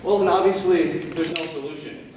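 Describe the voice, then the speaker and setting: A man's voice: on the high side, steady tone, moderate pace, darkish tone, lightly acted. Young man playing weary brother, distant and echoey. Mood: weary, irritated. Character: weary, sarcastic middle-aged brother, placating but needling. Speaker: actor in a school play. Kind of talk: live amateur stage comedy acting. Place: large indoor stage in an auditorium. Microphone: distant camera microphone. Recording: echoey auditorium from far off, noisy.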